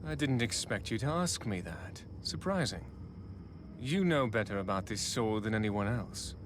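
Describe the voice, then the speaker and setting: An actor playing a betrayed, proud knight turned vengeful, power-hungry lord in a video game, grave and dramatic. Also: male voice, fairly low pitch, lively intonation, normal speed, noisy background, natural voice. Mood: guarded, suspicious. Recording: loud background music. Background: game soundtrack music.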